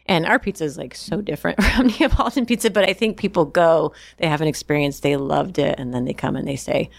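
Low-voiced chef, rambling chat. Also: female voice, fairly quick, creaky, no room sound, clean studio sound. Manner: rambling, restarts, many "like"s. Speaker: chef and restaurant owner. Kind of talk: casual interview answers.

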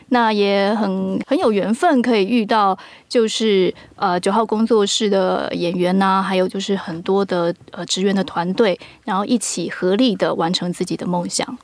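A woman's voice: medium pitch, fairly even intonation, normal speed, few pauses, no echo. A woman with a Taiwanese Mandarin accent, a producer talking casually as an interview guest and explaining things earnestly. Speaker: audio drama producer, podcast guest.

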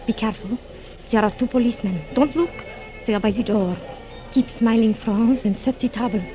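Actress as a guarded spy, dull old recording. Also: female voice, a little high, fairly quick, lightly acted. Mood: hushed, commanding. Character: clever, secretive foreign female spy, commanding and guarded. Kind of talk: 1940s radio drama acting. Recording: dull old radio recording, faint music.

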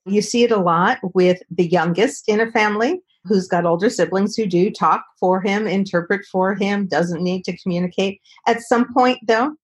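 A woman speech and language specialist, speaking as an interview guest and explaining with calm reassurance. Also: mid-range voice, husky. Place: Southern California.

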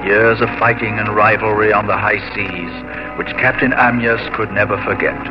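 A man narrating a radio drama in a formal, measured 1950s storytelling manner. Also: on the low side, fairly even intonation. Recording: muffled old radio broadcast under loud music.

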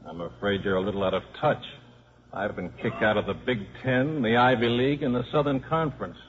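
A man's voice: medium pitch, a little fast, lightly acted. An actor playing a cynical, sardonic American ex-football coach and father in a 1950s radio drama. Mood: wry, breezy. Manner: wry, sardonic. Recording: muffled, noisy 1950s radio recording.

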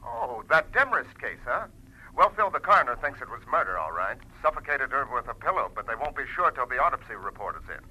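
A man acting a gruff, blunt police lieutenant in a 1940s radio drama, American accent, rough voice through a telephone. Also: medium pitch, sing-song, fast, pushed and bright, muffled recording, exaggerated voice. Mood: matter-of-fact.

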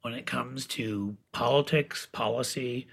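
A man with a raspy voice, talking politics as a regular guest in a blunt, emphatic, sarcastic way, with the odd swear word. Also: medium pitch, a little slow, a bit bright. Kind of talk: casual political talk.

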